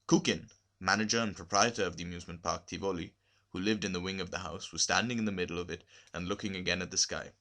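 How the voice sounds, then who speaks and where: A man narrating a literary short story expressively, with measured, deliberate phrasing. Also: sits low, fairly even intonation, fairly bright tone. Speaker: story reader.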